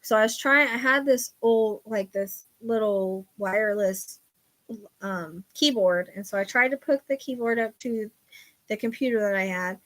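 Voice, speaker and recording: Middle-aged woman, psychic show host, loud voice, rambling confessional chat. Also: mid-range voice, measured pace. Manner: rambling, confiding, trails off quietly. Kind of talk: casual chat.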